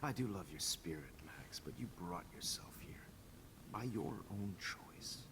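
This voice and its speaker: An actor playing a smug, menacing teacher and photographer villain in a video game, with a calm, condescending, gloating delivery. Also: male voice, deep voice, a little slow, in a whisper. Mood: cold, condescending. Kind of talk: dramatic video game acting.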